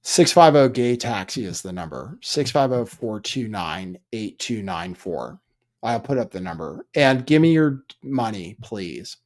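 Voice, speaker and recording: A man's voice: on the low side, lively intonation, unhurried, a little mellow. A man hosting a livestream, commenting casually in an offhand, dry way with rambling asides. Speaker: livestream host.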